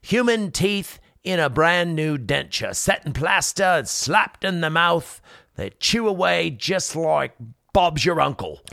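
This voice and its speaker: A man's voice: a little high, animated, unhurried, creaky, bright, forceful tone, no echo, studio quality, full character voice. A man playing a cheerfully macabre quack dentist from old London, with a British accent, explaining in a mock-learned, matter-of-fact way in improvised comedy. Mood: breezy, macabre. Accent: British English. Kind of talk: improvised comedy role-play.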